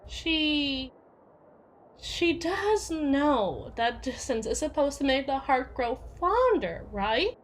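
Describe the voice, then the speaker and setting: A woman's voice: high voice, big pitch swings, takes it slowly, exaggerated voice. A woman playing a domineering, jealous yandere with biting sarcasm and a taunting, theatrical manner in an ASMR roleplay. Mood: exasperated, jealous. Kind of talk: ASMR roleplay audio drama.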